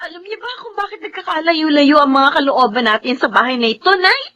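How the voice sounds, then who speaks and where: A woman's voice: high voice, expressive, brisk, sharp tone. An actress playing a long-suffering, loyal married daughter in a Tagalog radio drama, a young Filipino woman speaking earnestly. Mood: hurt. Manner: earnest, emotional. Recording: music under the voice.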